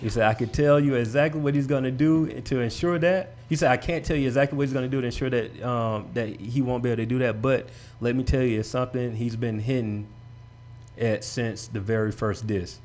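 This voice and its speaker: A man hosting a casual talk show, giving steady affirmations and reading out news updates. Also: mid-range voice, fairly quick. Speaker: show host.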